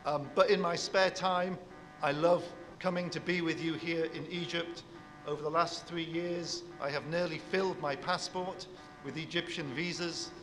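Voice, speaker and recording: Man, university speaker, big voice, slightly high, long hall echo, music underneath. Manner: warm, measured address to an audience, big voice. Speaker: university professor giving a speech. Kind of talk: formal public speech.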